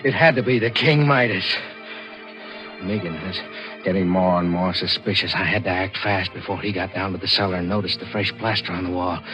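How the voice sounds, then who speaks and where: Deep-voiced, haunted killer husband. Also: male voice, rough recording. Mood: grim, desperate. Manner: haunted, halting, intense. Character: tormented, desperate husband confessing to murder. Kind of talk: 1940s radio thriller first-person narration and acting.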